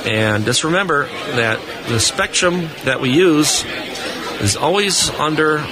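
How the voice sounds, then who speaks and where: A man's voice: a little high, lively intonation, slow, crowd noise. An American man speaking earnestly as a guest in an informal live radio interview, making appeals to listeners. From New England, United States. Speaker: interview guest, test engineer. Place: booth on busy convention floor. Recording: noisy convention hall floor, live broadcast.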